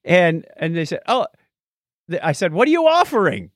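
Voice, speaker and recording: A man chats casually as a podcast co-host. His slightly high voice swings widely as he jokes and acts out each side of a conversation. The sound is dry, with no room echo. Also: very animated, moderate pace. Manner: jokey, acts out both sides of conversations. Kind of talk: casual podcast chat, storytelling.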